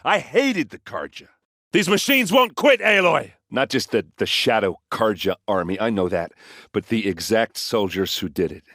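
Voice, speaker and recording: A man voicing a gruff, hard-drinking warrior captain in a video game, loyal and brash, with a blunt, wry, informal way of talking. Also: fairly low pitch, very animated, moderate pace, a bit bright, dry recording, clean studio sound, exaggerated voice. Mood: urgent, fired up. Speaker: game character voice actor. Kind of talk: video game dialogue and combat lines.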